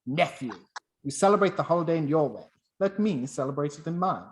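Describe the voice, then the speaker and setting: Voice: mid-range voice, lively intonation, a little fast, background noise, close to an everyday voice. A performer playing a miserly, bitter old businessman in a radio play, curt and scornful. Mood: loud, disgusted.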